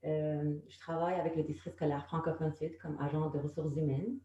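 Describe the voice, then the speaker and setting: A woman's voice: deep voice, flat delivery, fairly quick, warm tone. A woman from Morocco, an HR officer, speaking hesitantly on a video call panel. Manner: hesitant, many fillers and restarts. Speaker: human resources officer. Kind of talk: panel testimony. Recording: roomy, dull video call audio.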